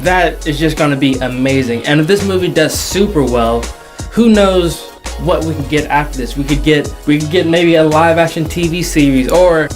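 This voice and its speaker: A young man hosting a casual entertainment commentary, jokey and slangy, stretching words for emphasis. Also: on the high side. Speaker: video host. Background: background music.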